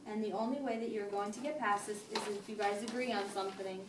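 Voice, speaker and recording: A woman playing a patient, firm family therapist, speaking in a measured, formal way in an amateur role-play. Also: medium pitch, steady tone, lightly acted. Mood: mediating, patient. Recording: noisy echoey room, distant mic.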